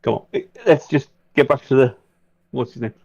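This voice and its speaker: A man's voice: mid-range voice, expressive, moderate pace, gravelly, dark tone, husky, highs cut off. A man playing a tabletop roleplaying game, talking casually in and out of character.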